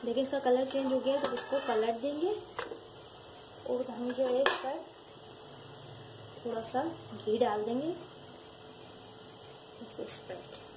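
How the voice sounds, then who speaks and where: A woman with a speech hosting a home cooking tutorial, calmly walking viewers through each step in her kitchen. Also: high voice, slow, long pauses. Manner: calm, instructive, addresses viewers directly. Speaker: cooking channel host. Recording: noisy home recording with background ambience.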